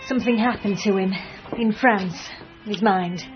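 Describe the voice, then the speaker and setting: An actress playing a polite, chatty, devoted wife in a radio drama. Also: female voice, medium pitch, unhurried, natural voice. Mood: polite, wistful. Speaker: actor. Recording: dull sound with faint music behind.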